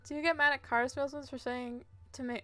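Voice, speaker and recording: Woman streaming a game, highish voice, rambly casual chat, faint music behind. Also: fairly even intonation, fast talker, fairly bright tone. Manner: informal, rambling asides. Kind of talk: casual chatty gameplay commentary. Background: video game music.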